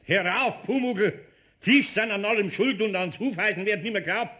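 Older bavarian craftsman, grumbly n flustered, slightly high male voice, radio play. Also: normal speed, bright, forceful tone. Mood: exasperated. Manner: hesitant, exasperated, sighing. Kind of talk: German radio play acting.

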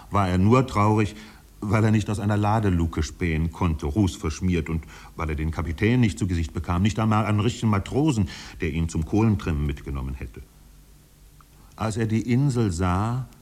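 An actor playing a solemn literary storyteller, narrating a 1950s German radio drama in a measured, dramatic manner. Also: male voice, a little mellow. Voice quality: deep, husky.

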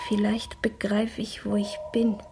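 An actress playing an anxious young woman in a radio drama, speaking in a hushed, halting inner monologue that is often whispered. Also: mid-range voice, monotone, music in the background, natural voice. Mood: troubled, intimate. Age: young adult.

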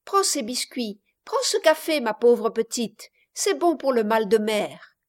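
A woman voicing a willful, bossy but warm-hearted eight-year-old girl in an audiobook, insistent and exclaiming. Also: on the high side, sing-song, measured pace. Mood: eager, bossy. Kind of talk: audiobook character voice.

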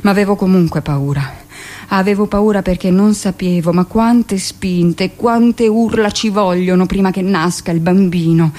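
Actress as middle-aged female midwife, bitter, self-justifying, confessional, insistent delivery, medium pitch, radio drama monologue. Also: a little slow, close to an everyday voice. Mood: anxious confession. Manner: insistent, expressive storytelling.

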